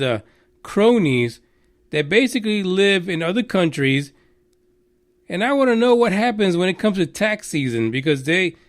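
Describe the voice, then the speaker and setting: A Texan man hosting a radio news show, rambling and mocking with plenty of swearing and filler words. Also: high-pitched. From Texas. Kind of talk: profane rambling news commentary.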